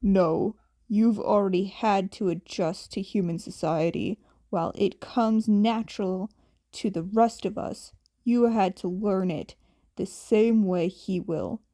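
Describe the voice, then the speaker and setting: A woman voicing a gruff, tired, blunt but protective man with a grudging deadpan delivery in a fan fiction audiobook. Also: mid-range voice, slow pace, dry recording, clean studio sound, voice pushed down. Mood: dry, matter-of-fact.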